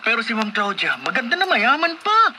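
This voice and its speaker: A chummy young man in a Filipino radio drama, the teasing workmate. His voice is high and bouncy in pitch, with clear noise in the background. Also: wildly swooping pitch, brisk, exaggerated voice. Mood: teasing, envious. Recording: noisy radio drama broadcast.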